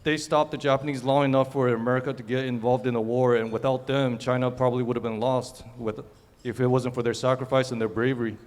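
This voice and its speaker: A man from Taiwan giving an earnest, somewhat halting speech at a forum. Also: mid-range voice, little pitch movement. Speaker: guest speaker. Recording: reverberant hall.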